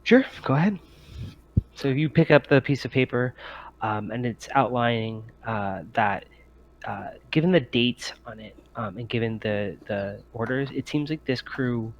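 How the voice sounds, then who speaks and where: A man acting as game master, improvising descriptions for a tabletop role-playing game in a halting way. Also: medium pitch, muffled recording.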